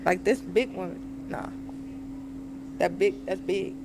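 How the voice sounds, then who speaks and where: A woman's voice: fairly low pitch, takes it slowly. Blunt, dismissive woman over noise. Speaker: show contestant. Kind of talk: candid explanation.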